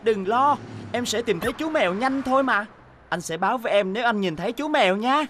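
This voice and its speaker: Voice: mid-range voice, animated, brisk, a bit bright, slight background noise, close to an everyday voice. A performer voicing an eager, kind young boy bus in a Vietnamese cartoon dub, speaking earnestly over music.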